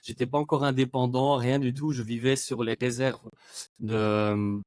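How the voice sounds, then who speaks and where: A man's voice: mid-range voice, little pitch movement, normal speed, darkish tone, dry recording. Belgian guy in his 30s, german is his first language, lots of euhs, dead dry mic. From German-speaking Belgium. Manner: rambling, many hesitations, laughs often. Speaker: podcast guest, video creator. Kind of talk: casual interview chat.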